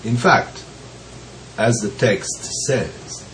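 Man, low and unhurried, voice deepened by bronchitis, gentle reflective teacher, faint hiss behind. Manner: slow, pausing, gentle with soft laughs. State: recovering from bronchitis, deep voice. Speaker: Zen teacher. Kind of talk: slow reflective teaching talk.

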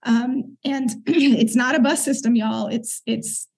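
A middle-aged woman, a podcast guest, earnestly and reflectively recounting her experiences and clearing her throat now and then. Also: fairly high pitch, steady tone, slow, darkish tone. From United States. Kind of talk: conversational interview storytelling.

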